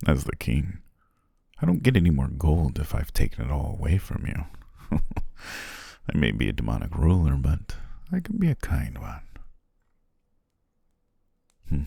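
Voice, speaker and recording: Male actor as demon king, ASMR roleplay, extremely low husky voice, unhurried, genteel and self-amused, gravelly. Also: huge pitch swings, no echo, studio quality, heavily acted. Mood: playful, gracious. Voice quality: very deep, husky, gravelly, often breathy near whisper. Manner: leisurely, genteel, archly formal with soft chuckles.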